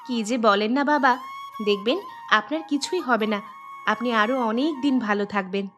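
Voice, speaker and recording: A woman's voice: on the high side, fairly quick, a bit bright, soft background music, natural voice. A woman playing a dutiful, affectionate young village daughter-in-law in an audio drama. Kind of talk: Bengali audio story drama acting.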